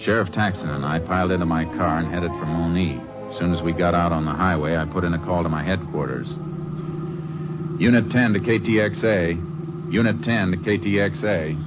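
Low-voiced Texas Ranger, played by a man, old radio. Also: fairly even intonation, a little fast, natural voice. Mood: grave, measured. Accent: American. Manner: terse, businesslike questioning. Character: calm, authoritative Texas Ranger investigator. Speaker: lead actor and narrator. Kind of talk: 1950s radio drama acting. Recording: muffled 1950s radio recording, loud music.

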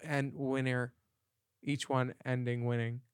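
Smooth-voiced, blunt gossip podcast host. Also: male voice, mid-range voice, level tone, measured pace, no echo, clean studio sound. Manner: bawdy, blunt storyteller. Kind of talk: casual gossip chat. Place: studio.

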